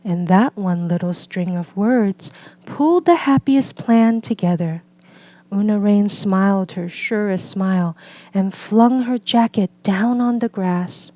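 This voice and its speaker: A woman narrates a children's picture book at a very slow pace. Her voice is mellow and mid-pitched, with gentle, deliberate phrasing. The recording is slightly dull and lo-fi. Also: no echo. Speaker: read-aloud storyteller for children and English learners.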